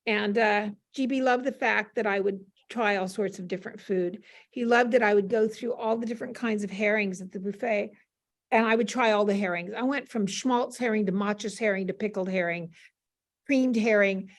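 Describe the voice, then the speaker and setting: An older woman speaking as an interview guest, casually telling anecdotes on a panel with a gently teasing manner. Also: medium pitch, normal speed.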